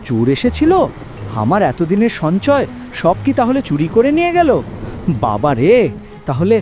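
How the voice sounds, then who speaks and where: A man acts out a villainous cartoon steward, scheming and full of bluster. His voice is pitched fairly high and swings dramatically up and down, stumbling over repeated words when he is flustered. Music plays faintly behind him. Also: huge pitch swings, normal speed, a little mellow. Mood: panicked. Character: scheming, blustering male estate steward who hoards grain. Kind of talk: melodramatic cartoon villain acting.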